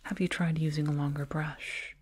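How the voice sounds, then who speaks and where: A woman voicing a soothing, condescending alien dentist in a breathy whispered ASMR roleplay, close to a binaural microphone. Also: low voice, little pitch movement, a little mellow. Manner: slow, soothing, murmured repetitions. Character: condescending, soothing alien dentist, fussing over a little human.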